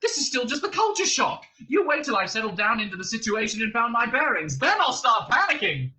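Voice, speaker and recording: Comic storybook everyman, acted by a man: hysterical whiner, high and sharp, forever complaining. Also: sing-song, fairly quick, full character voice. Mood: hysterical snapping. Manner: spluttering, whining. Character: hapless hysterical human man, indignant and complaining.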